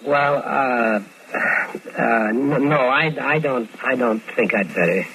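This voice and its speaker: A smooth scheming killer. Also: male voice, mid-range voice, slow, low-quality recording. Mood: evasive. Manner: calm, persuasive, coaxing. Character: smooth-talking scheming man, murderer narrating. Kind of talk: 1950s radio drama first-person narration. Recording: old radio broadcast with music bed.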